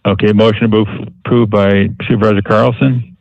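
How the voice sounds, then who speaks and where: Low, gravelly man chairing a meeting. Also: little pitch movement, fairly quick, a little mellow, lo-fi sound. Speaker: county board chairman. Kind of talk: formal public meeting procedure.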